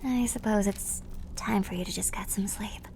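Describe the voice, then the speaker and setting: A woman playing a clumsy, affectionate and slightly mad plague doctor girlfriend in a theatrical, breathy ASMR roleplay. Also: medium pitch, moderate pace, a little mellow, natural voice, low-quality recording. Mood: gentle, musing. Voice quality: breathy, often whispered. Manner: theatrical, affectionate, quaint turns of phrase. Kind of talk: ASMR roleplay, scripted character acting.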